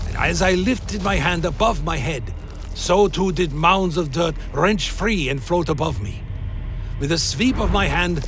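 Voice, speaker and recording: Brooding, higher-voiced vampire narrator. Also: male voice, creaky, a bit bright, a little hiss or hum, heavily acted. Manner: solemn, measured storytelling. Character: brooding undead male vampire warrior narrating his journey. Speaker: narrator and protagonist. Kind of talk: scripted first-person dramatic narration. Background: music.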